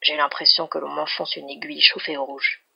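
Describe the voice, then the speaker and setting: A stubborn, guarded man with an injury in a romance audiobook, as a narrator acts him out, grumbling and wry. The voice is creaky and medium in pitch, on a dull lo-fi recording. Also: flat delivery, a little fast. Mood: grumbling, dry. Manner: reluctant, grumbling, dry.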